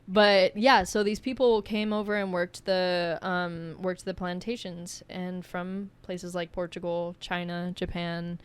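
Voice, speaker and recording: A woman's voice: fairly low pitch, flat delivery, takes it slowly, hardly any pauses, clean tone. A woman from Hawaii, a podcast guest, talking casually with lots of fillers and hedges. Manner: chatty, lots of "like" and "um" fillers, hedging. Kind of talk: casual conversation.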